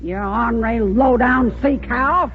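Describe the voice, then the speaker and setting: An actress playing a bossy, rough-hewn middle-aged woman, brash and folksy, in a 1940s radio comedy. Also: a little high, measured pace, a little hiss or hum, natural voice. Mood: scolding, exasperated. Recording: reverberant, muffled 1940s radio recording.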